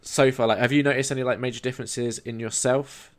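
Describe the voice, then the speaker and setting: A man hosting a podcast in casual chat, asking prompting questions and dropping in quick agreements. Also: medium pitch, steady tone. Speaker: podcast host.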